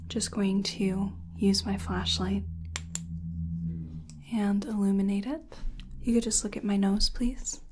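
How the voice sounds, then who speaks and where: A woman whispering and softly murmuring in a breathy, gentle, soothing way, as an energy healer in an ASMR personal attention roleplay. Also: mid-range voice, flat delivery, takes it slowly, pauses often, a little hiss or hum.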